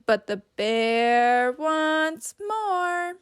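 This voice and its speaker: Slow, high-pitched woman reading to children. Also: expressive. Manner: slow, deliberate, instructive, pausing to ask questions. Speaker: teacher reading aloud to children. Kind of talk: storybook read-aloud with comprehension questions.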